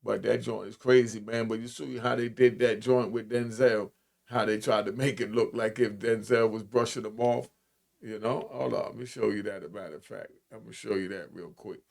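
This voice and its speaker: A man's voice: on the low side, fairly even intonation, moderate pace, vocal fry. A middle-aged American man giving casual reaction commentary in a slangy, informal manner, often repeating himself.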